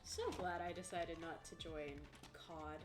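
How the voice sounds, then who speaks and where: A woman's voice: lowish voice, flat delivery, unhurried, music in the background. A tired woman streamer chatting casually with viewers, joking bluntly and dryly.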